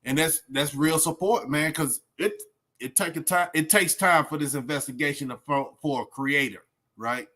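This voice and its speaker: High bright male voice, Southern US accent, casual reaction host, colloquial, emphatic, asks rhetorical questions. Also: moderate pace. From southern United States.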